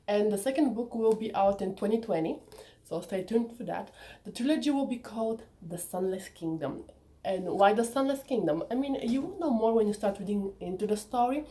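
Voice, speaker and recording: Young woman vlogger, Bulgarian native speaker, mellow warm voice, chatty and eager. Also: mid-range voice, normal speed. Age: young adult. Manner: enthusiastic, chatty, sometimes personal and reflective. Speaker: author presenting her book. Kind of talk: casual vlog talk to viewers.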